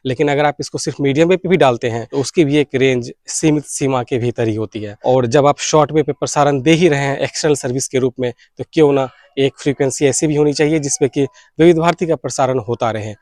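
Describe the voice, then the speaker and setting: A man's voice: medium pitch, quick pace, few pauses, darkish tone, no room sound, clean studio sound. An Indian man making an earnest campaign appeal as a video host, mixing English words into his speech. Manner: Hindi mixed with English words. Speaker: YouTube host and radio enthusiast.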